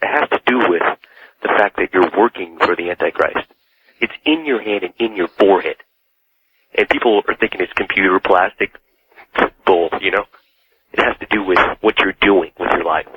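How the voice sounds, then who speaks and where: Male caller, deep rough voice, casual delivery, on a faint tinny phone line. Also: moderate pace, no room sound. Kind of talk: casual call-in radio talk.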